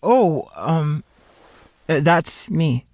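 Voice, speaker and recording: Shy young male writer played by a man, guarded and halting, very slow, slightly high voice, audio drama. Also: big pitch swings, a little mellow, dry recording, studio quality, heavily acted. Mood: awkward, timid. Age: young adult. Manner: hesitant, halting, reserved.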